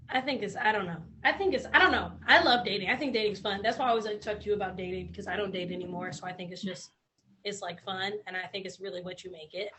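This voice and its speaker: A woman hosting a relaxed conversation, chatty and trailing off with fillers. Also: mid-range voice, quick pace, a little hiss or hum. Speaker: host. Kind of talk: casual chat.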